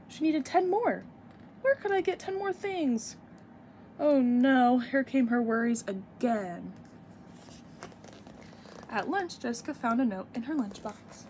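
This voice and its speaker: A woman's voice: a little high, a little mellow, slight background noise. A woman in her twenties reading a picture book aloud expressively for young children, with teacherly emphasis and asides. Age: 20s.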